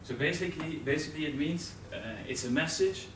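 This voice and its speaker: Dutch-accented man, young adult, halting presenter, lots of fillers, echoey room, distant mic, background noise. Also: a little high. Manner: hesitant, many fillers and restarts. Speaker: presenter in a training course. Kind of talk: live classroom presentation. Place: training room with an audience. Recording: roomy, distant camera audio with noise.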